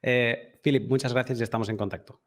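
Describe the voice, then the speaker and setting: A man's voice: medium pitch, fairly even intonation, brisk, a bit bright. A man hosting a conversational podcast interview, thinking aloud in a reflective way.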